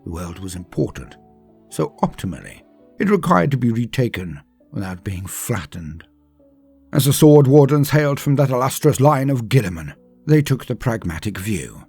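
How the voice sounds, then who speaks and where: A man with a husky voice narrates a scripted story in a theatrical, measured, ornate manner. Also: mid-range voice, very animated, a little mellow, faint music behind. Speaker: channel host and storyteller.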